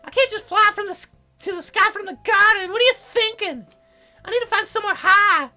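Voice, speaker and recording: Bossy young fairy girl for a visual novel, voiced by a man, squeaky high falsetto, huffy and animated, music underneath. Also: fairly quick, heavily acted. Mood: indignant, bossy. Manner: petulant, demanding. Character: bossy, bratty young cloud fairy girl, easily offended.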